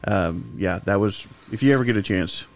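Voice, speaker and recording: A man's voice: on the low side, normal speed. A man with a daughter, co-hosting a casual chat in a jokey, enthusiastic way. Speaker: podcast co-host.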